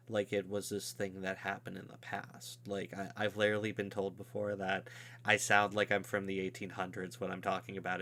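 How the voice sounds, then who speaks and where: A man's voice: on the low side, fairly bright tone. A man hosting a podcast in casual discussion, talking loosely with frequent "like" and "um". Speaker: podcast host.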